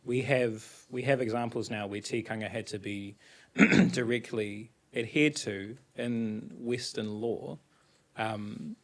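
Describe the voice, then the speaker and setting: A man speaking as a podcast guest, earnest and measured, weaving Māori words into his speech. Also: medium pitch, fairly even intonation. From New Zealand. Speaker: guest, climate activist. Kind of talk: podcast interview.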